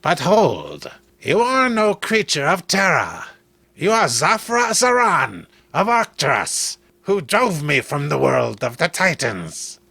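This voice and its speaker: Guy doing a pompous evil space giant, slow raspy menacing villain, comic book story. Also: fairly high pitch, huge pitch swings, bright, forceful tone, dry recording, exaggerated voice. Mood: bitter, grandiose. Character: vengeful exiled giant alien titan, male, grandiose villain.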